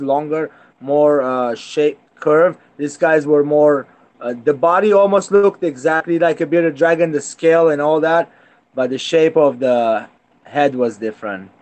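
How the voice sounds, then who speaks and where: A man from Lebanon with a Lebanese accent, chatting casually as a guest and halting as he searches for words. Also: a little high, a little mellow. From Beirut, Lebanon. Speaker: guest reptile keeper. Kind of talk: casual reminiscing in conversation.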